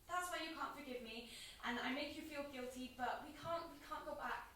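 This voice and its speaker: A woman playing a guilt-ridden, pleading estranged best friend in a naturalistic drama, earnest, halting and emotionally raw. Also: sits high, bright, forceful tone. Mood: insistent. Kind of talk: naturalistic two-hander drama dialogue. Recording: distant, very echoey room with faint noise.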